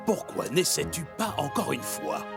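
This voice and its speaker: Wise old cartoon teacher, very gravelly, slow, lively pitch, music under. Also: male voice. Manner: measured, moralizing teacher. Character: wise old animal teacher, calm and patient. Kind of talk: French cartoon dubbing.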